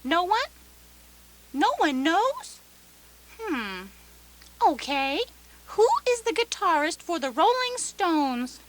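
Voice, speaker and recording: A patient, easily flustered schoolteacher in a satirical radio play. The voice is very high and swoops up and down, with slow, drawn-out delivery. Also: female voice, sing-song, exaggerated voice. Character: patient, slightly flustered schoolteacher quizzing a class.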